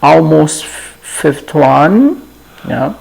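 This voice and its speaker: A Brazilian man in his fifties with a Portuguese accent, introducing himself to viewers in a halting, friendly way, often trailing off with "yeah". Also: a little high, big pitch swings, creaky, darkish tone, breathy edge, rough recording. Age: 50s. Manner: halting, many fillers and restarts, often adds "yeah". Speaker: channel host. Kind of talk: casual personal introduction.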